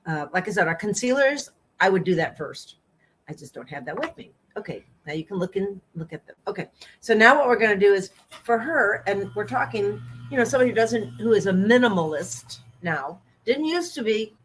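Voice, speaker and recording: A woman's voice: mid-range voice, animated, brisk, slight background noise, rough recording. Chatty, giggly makeup artist woman. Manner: chatty, giggly, gently bossy. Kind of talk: casual makeup demonstration.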